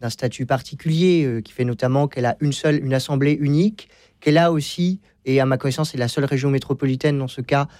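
A man's voice: mid-range voice, fast talker, a little mellow, no echo. A man speaking as a radio debate guest, hesitant, repeating words and correcting himself as he thinks aloud. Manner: hesitant, stammering word repetitions, self-correcting. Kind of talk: spontaneous radio debate commentary.

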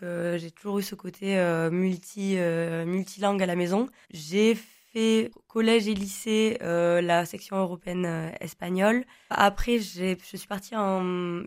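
A young woman whose first language is Occitan, talking at an everyday pitch. She answers in a loose, rambling way, full of fillers and false starts. She sounds like a guest chatting in an interview. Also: a little mellow. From Languedoc, southern France. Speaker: guest, online content creator.

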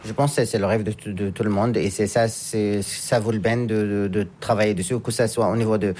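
A man from France, raised in Japan, answering interview questions in a halting way as he searches for words. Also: lowish voice, fairly even intonation, darkish tone. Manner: halting, searching for words, with frequent pauses and restarts. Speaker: guest, scientist and businessman.

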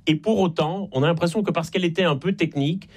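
A man's voice: fairly high pitch, fast, breathy edge. A man with a Belgian French accent explaining things in an articulate, didactic way as an expert guest in a radio interview. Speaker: guest expert and author on energy transition.

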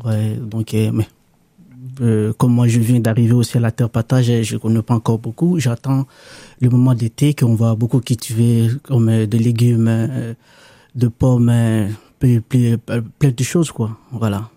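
A man from the Democratic Republic of the Congo whose first language is Lingala, speaking as a guest on a radio show in a halting, hesitant way. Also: mid-range voice, flat delivery, dark tone, no room sound. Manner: hesitant, halting with restarts and fillers. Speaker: guest, new worker at a farm association. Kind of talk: radio interview, casual. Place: radio studio. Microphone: studio microphone.

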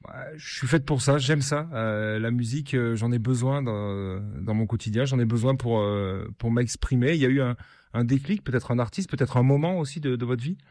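A male radio interviewer asks his questions haltingly, pausing on fillers as he builds each one. The studio sound is very dry, with no room echo, and his pitch is ordinary for a man. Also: darkish tone.

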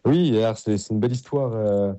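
A middle-aged man from Paris speaks as a priest in an interview. His voice sits fairly low and has a very mellow, relaxed tone. He explains things in an earnest, enthusiastic, conversational way. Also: brisk. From Paris, France.

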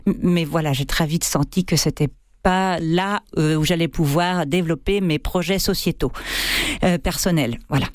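A woman's voice: low voice, moderate pace. A middle-aged woman from France, an actress, speaks in a radio interview. She searches for her words, filling gaps with hesitations and repeated starts. The studio sound is dry and close, with no room echo. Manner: hesitant, many fillers and restarts. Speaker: actress and theatre co-director.

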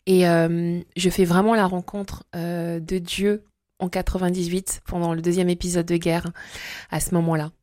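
A woman in her forties from Congo-Brazzaville, a guest telling her faith story in an interview and pausing often with fillers and restarts. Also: on the low side, level tone, a little mellow, no room sound, studio quality. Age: 40s. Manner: hesitant, many fillers and restarts. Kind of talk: testimony interview.

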